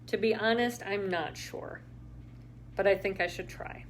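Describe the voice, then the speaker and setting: A woman's voice: medium pitch, measured pace, a little hiss or hum, close to an everyday voice. Woman reading as an anxious, guilt-ridden sister. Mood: earnest. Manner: hesitant, earnest.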